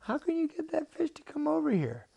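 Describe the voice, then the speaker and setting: A man's voice: very high-pitched, huge pitch swings, a little fast, gravelly, warm tone, pitched above the performer's own voice, full character voice. A man voicing a timid, baffled five-year-old boy in a storybook tale, asking hesitant, incredulous questions.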